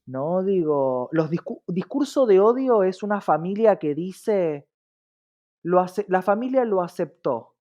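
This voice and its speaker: Muffled, mellow-voiced Argentine man explaining emphatically. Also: high voice, lively intonation, unhurried. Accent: Argentine Spanish. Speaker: interview guest, diversity advocate. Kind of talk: explanatory interview talk. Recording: dull, muffled lo-fi audio.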